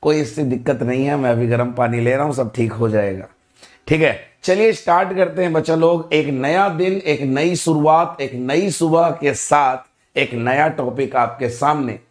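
A man in his thirties with an Indian accent, teaching a live online engineering class in a studio, energetic and repetitive, with a slightly sore throat. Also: fairly high pitch, moderate pace. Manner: energetic, repeats greetings, mixes Hindi and English. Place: indoor studio in front of a backdrop.

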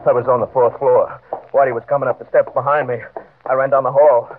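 A tinny, narrow-band vintage radio recording carries a man acting a hunted small-time crook in a 1940s crime play. He tells of his downfall in slangy, fatalistic tough-guy talk, with a medium-pitched voice. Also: little pitch movement, fairly quick, music in the background, lightly acted. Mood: panicked.

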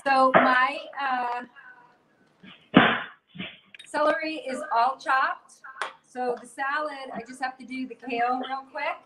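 A woman hosting a live cooking stream from a kitchen, briskly talking to viewers as she chops. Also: high-pitched, steady tone, very noisy. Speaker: host. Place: home kitchen.

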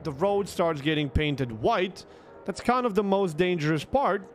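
A man's voice: high-pitched, lively intonation, a little slow, a little hiss or hum. A young man with a Croatian accent, a streamer casually telling a story in an emphatic, sweary way, repeating words for emphasis, close to a desktop microphone. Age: young adult. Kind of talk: casual storytelling to a livestream chat. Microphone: close desktop microphone with headset.

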